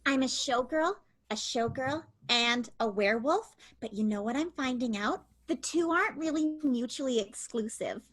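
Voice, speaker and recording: Lady doing a showgirl werewolf, slightly high voice, comedy radio play. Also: lively intonation, moderate pace, few pauses, natural voice. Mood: musing, proud. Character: female burlesque showgirl who is a werewolf. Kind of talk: improvised comic radio soap acting.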